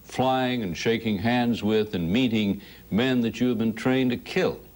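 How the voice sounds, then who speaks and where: Man, news anchor, polished, measured, scripted narration, interview questions. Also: mid-range voice, a little mellow.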